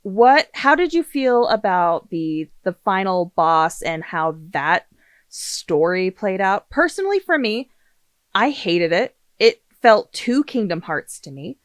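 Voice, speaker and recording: A woman's voice: mid-range voice, big pitch swings, slow, no echo. A woman hosting a casual podcast discussion, speaking in an opinionated, emphatic way and swearing freely. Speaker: podcast host.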